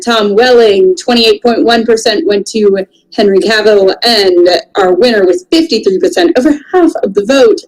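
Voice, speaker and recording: A woman's voice: medium pitch, a little mellow, low-quality recording. A woman co-hosting a casual panel chat show. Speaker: podcast co-host.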